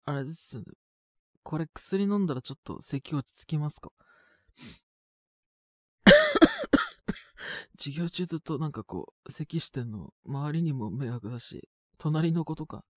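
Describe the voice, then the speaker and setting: A man playing a young student with a cold in a voice drama, husky and prone to coughing, speaking in a casual, hesitant, youthful way. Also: on the high side, wildly swooping pitch, unhurried, long pauses, dry recording, clean studio sound, heavily acted. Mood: polite, hesitant. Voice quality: husky, with frequent coughing fits. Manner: casual youthful speech, trailing hesitant phrases. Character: young male student with a cold, polite, mildly exasperated. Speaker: voice actor. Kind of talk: one-sided situation voice drama.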